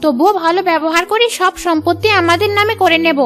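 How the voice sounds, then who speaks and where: Woman as a very high-pitched scheming cartoon stepmother. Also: quick pace, a bit bright. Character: scheming stepmother, two-faced, sweet outside and greedy inside. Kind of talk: Bengali cartoon dub. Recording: music behind the voice.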